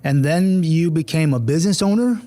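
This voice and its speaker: A man interviews a guest as a school superintendent, building long questions that stall and restart on "um" and "uh." His voice sits a little higher than most men's. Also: dark tone. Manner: halting, restarting questions with many "um" and "uh" fillers. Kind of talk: conversational interview hosting.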